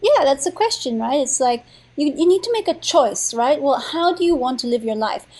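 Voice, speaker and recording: A woman's voice: high-pitched, expressive, moderate pace, darkish tone. A young woman, born in Thailand and raised in India and Nepal, talking from her home as a podcast guest in a bubbly, rambling way. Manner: bubbly, rambling, many fillers and restarts. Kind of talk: casual interview.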